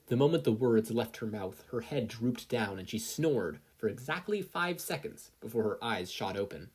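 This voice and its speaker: A narrator reading fiction in an expressive, scripted storytelling style. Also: mid-range voice, moderate pace, slightly rough. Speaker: audiobook-style fan fiction reader.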